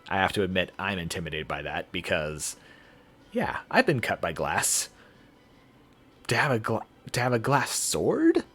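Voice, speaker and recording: A man's voice: low-pitched, very animated, measured pace, fairly bright tone. A man reading a visual novel aloud as a streamer, with playful, casual commentary.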